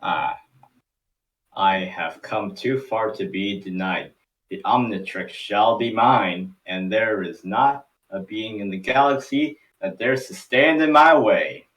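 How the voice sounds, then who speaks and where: Cartoon villain: male reader as alien conqueror, low, declamatory, menacing, ruthless. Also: takes it slowly, fairly bright tone, distant mic, low-quality recording. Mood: menacing defiance. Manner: grandiose, declamatory.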